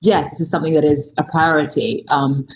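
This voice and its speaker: A woman's voice: sits low, level tone, a little fast, slight background noise, low-quality recording. A woman moderating a panel discussion over a video call, asking guests questions in a friendly way.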